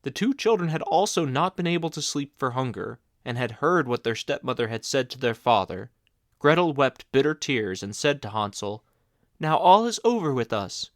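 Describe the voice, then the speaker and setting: A man narrating a classic fairy tale in a steady, clear storybook reading. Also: medium pitch, moderate pace, no room sound, clean studio sound. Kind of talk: fairy tale read-aloud.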